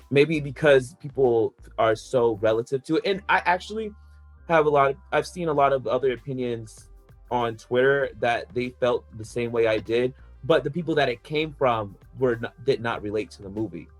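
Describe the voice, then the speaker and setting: A man's voice: mid-range voice, moderate pace, faint music behind. A man chatting casually on a podcast panel, rambling through his opinions with lots of "like" fillers and the odd laugh. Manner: rambling, heavy use of "like" fillers; laughs between points.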